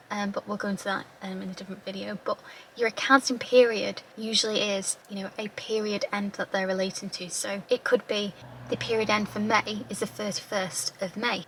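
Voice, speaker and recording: A woman tutor with a slightly croaky voice, patiently explaining accounting terms with everyday examples. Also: medium pitch, a little hiss or hum, poor audio. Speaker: finance tutor.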